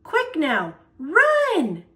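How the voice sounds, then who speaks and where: A woman voicing a jolly, excitable old grandfather in a picture-book read-aloud, chirpy and eager. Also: very high voice, very slow, pitched above the performer's own voice, exaggerated voice. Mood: excited calling.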